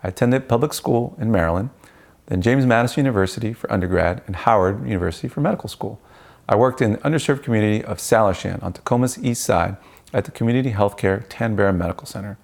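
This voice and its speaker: A man's voice: fairly low pitch, quick pace, creaky, a little mellow. A middle-aged man from Peru, a native Spanish speaker, giving a prepared campaign speech as a school board candidate.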